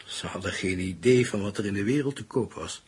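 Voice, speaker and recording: Wistful bereaved father, middle-aged, very husky, somewhat low voice, courteous and halting, radio drama. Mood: wistful, sorrowful. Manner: measured, formal, halting. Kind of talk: Dutch radio drama acting.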